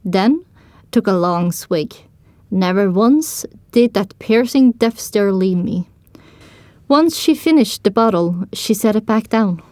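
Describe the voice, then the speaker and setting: A woman delivers scripted first-person narration for a story. Her pitch sits in the middle range. The sound is dry, with no room to it. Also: expressive, takes it slowly, mellow, no room sound.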